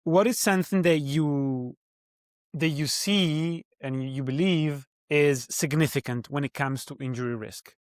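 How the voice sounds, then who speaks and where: A man hosting a podcast, asking a guest questions in a measured way with some hesitant ums. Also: a little high, dry recording, clean studio sound. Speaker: podcast host. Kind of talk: interview questions.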